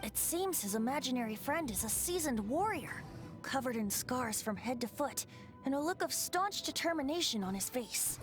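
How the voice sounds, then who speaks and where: A man's voice: very high-pitched, lively intonation, moderate pace. An actor playing a cheerful, teasing young male bard in a game, with game music behind. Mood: playful. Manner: theatrical, teasing.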